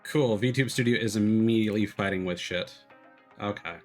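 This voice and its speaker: Male game streamer, lowish voice, casual and analytical with halting delivery, music playing underneath. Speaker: streamer, host of a gaming live stream. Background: background music.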